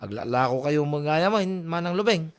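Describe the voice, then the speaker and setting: A man's voice: a little high, expressive, normal speed, smooth, natural voice. A man playing a nagging, teasing young son in a comedy drama, exasperated. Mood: chiding. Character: nagging, teasing young Ilocano son scolding his mother. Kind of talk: Filipino comedy audio drama.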